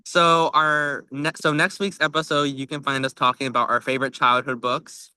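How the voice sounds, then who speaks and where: Very bright-voiced young male podcast host. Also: on the high side, level tone, moderate pace, smooth, no echo. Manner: chatty, informal, frequent 'like'. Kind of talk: casual podcast chat.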